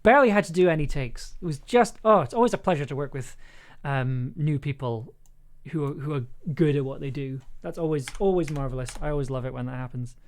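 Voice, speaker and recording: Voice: mid-range voice, fairly quick. An enthusiastic audio producer chatting casually and effusively on a livestream while editing. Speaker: audio drama producer and streamer.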